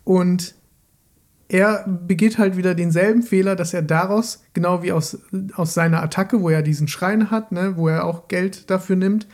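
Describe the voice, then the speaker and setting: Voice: medium pitch, fairly even intonation, moderate pace, a little mellow. A podcast co-host in a casual film discussion, explaining eagerly and often repeating words while working out a thought.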